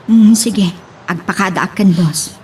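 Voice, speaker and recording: A woman's voice: medium pitch, unhurried, darkish tone, close to an everyday voice. A woman acting as a supportive, plain-spoken friend in an audio drama. She, and there is slight noise behind her voice. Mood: frank, supportive. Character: frank, supportive adult female friend.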